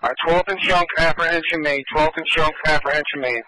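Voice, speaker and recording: A man's voice: a little high, brisk, pushed and bright, rough recording. A man working as a police dispatcher, relaying locations and descriptions over the radio in clipped, repeated phrases. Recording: police scanner radio transmission.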